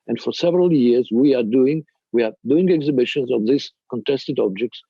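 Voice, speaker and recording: An older man with a Russian accent gives a halting, emphatic presentation as a museum director, in non-native English. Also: medium pitch, mellow, slightly rough, no echo, lo-fi sound. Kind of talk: extemporaneous panel presentation.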